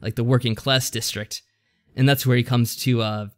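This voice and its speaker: A man speaks in a casual, conversational way at a middle pitch. He feels his way through what he is saying, hesitant and loosely rambling, as a podcast co-host does in an informal discussion. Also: fairly even intonation, moderate pace. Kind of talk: casual discussion recapping a visual novel.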